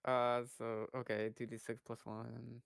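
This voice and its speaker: Player, deadpan and brief, dry recording. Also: mid-range voice, measured pace, gravelly, clean tone, dry recording. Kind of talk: casual tabletop play.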